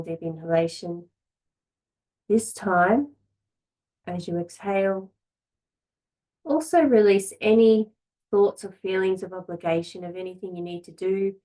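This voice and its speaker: A woman teaching a yoga class, giving calm, gentle relaxation guidance in short phrases with long pauses. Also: medium pitch, rough recording.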